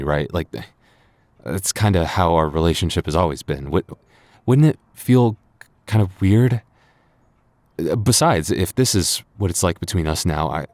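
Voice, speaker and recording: A man's voice: sits low, a little mellow, no echo. A man voicing a smitten, flustered, playfully submissive young man in a boyfriend roleplay audio, stammering shyly and hesitantly. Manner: stammering, hesitant, breathy sighs and shy chuckles. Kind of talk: boyfriend ASMR roleplay acting.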